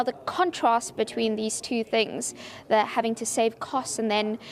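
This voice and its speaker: High-pitched woman reporting sports news. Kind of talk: broadcast news reporting and interviewing.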